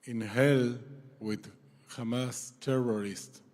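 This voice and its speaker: A man from Israel and Argentina, giving a halting, emotional speech as a former hostage, repeating and apologizing for his English. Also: mid-range voice, steady tone, a little mellow. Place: large banquet hall. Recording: long hall reverb.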